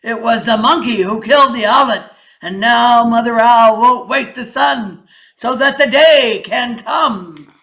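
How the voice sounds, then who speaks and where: A woman voicing a commanding lion king in a storybook, loud, solemn, deliberate and declamatory. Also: on the high side, low-quality recording. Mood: stern, solemn. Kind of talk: animated storybook character reading.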